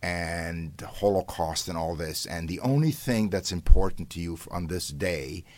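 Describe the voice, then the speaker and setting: A deep, low-pitched older man speaks in a dry, close recording. His first language is Hungarian, and he tells his stories as an interviewed professor. He wanders and restarts often, with bursts of animated emphasis. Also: moderate pace, no echo. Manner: rambling, many restarts and fillers, animated bursts. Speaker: professor and guest. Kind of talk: conversational interview storytelling.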